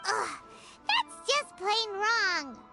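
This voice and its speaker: A woman voicing a tiny, curious, childlike fairy companion in a video game, with a cute squeaky voice. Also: very animated, very slow, music in the background, heavily acted. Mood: disgusted. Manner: cute, inquisitive, speaks of herself by name. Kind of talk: video game character dialogue.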